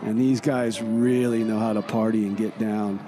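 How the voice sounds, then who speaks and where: A man playing a giddy, tipsy party-goer who rambles excitedly in a comedy radio skit. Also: on the low side, moderate pace, over music, close to an everyday voice. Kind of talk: comedy radio theater monologue.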